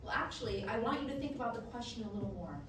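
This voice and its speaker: A woman playing a patient, probing therapist in staged reading dialogue, with a measured, questioning manner. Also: fairly high pitch, lively intonation, a bit bright. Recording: echoey room from far off, background noise.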